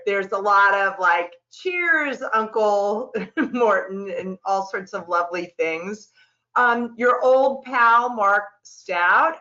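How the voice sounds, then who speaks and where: Woman reading audience questions on a video call, slow and bright, sound's kinda dull. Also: mid-range voice. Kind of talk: casual interview.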